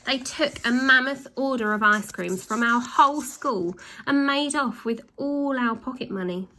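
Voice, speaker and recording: A woman's voice: fairly high pitch, a little slow, pushed and bright, voice pushed up, poor audio. A woman voicing tearful, whiny posh schoolchildren in a storybook reading. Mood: sobbing, indignant. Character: gang of sobbing, blubbering posh schoolchildren.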